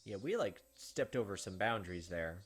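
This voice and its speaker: A man's voice: fairly low pitch, big pitch swings, moderate pace, husky. A man hosting a gaming video, reading a visual novel aloud and adding casual commentary over game music. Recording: music behind the voice.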